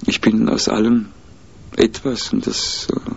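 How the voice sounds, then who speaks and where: Gravelly, husky man speaking slowly and carefully. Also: lowish voice, little pitch movement, a little mellow. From mixed German, Spanish, Italian and Peruvian roots. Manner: measured, hesitant, many fillers. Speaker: interviewee. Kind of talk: thoughtful interview answers. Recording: dull lo-fi recording.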